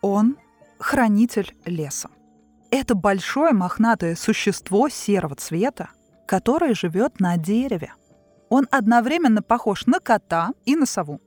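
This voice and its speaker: A woman's voice: medium pitch, expressive, a little slow, darkish tone, no room sound. A woman hosting a quiz in a casual, playful chatty style. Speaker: quiz host. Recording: music playing behind.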